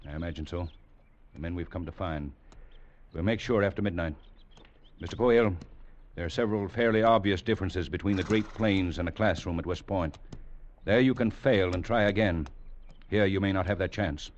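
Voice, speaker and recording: Man playing a stern, low-voiced old cavalry captain. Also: natural voice. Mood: dry, probing. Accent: American. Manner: measured, formal, lecturing. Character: stern older male US cavalry captain, dry and exacting. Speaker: actor. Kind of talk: 1940s-50s radio acting. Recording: dull, hissy old radio recording.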